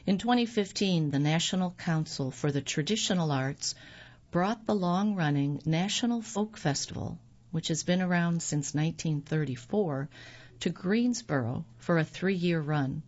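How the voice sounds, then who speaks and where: A woman reading magazine articles aloud on the radio in a measured, scripted delivery. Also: fairly low pitch, a little mellow. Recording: dull lo-fi recording.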